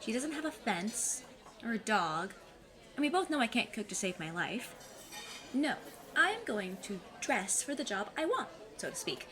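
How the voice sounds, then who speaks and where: A woman's voice: fairly high pitch, very animated, normal speed, crowd noise. A woman playing a plucky, overconfident fifteen-year-old girl sleuth with a cheeky, mock-formal manner in an audio drama. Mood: confident, breezy. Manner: eager, cheeky, mock-formal, self-assured.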